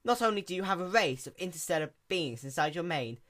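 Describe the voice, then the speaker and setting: Woman as a bright, matter-of-fact sea pony mechanic. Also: lowish voice, lively intonation, fast, voice pushed up. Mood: explaining, incredulous. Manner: plain-spoken, explanatory.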